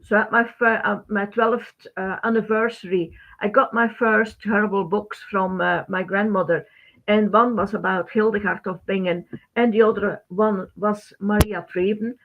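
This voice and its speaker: A middle-aged woman from Belgium, a native Dutch speaker with a Belgian Dutch accent, presenting a webinar informally in halting, non-native phrasing. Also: medium pitch, fairly even intonation. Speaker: webinar host, teacher. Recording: thin phone-like band-limited webinar audio.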